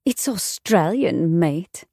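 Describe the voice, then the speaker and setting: A woman's voice: lively intonation, slow, warm tone, no room sound, studio quality, full character voice. An actress playing a sultry, sardonic vampire woman who hosts a horror show, with a silky voice and theatrical, haughty delivery in a dramatized audiobook. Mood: campy, theatrical. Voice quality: deep, silky.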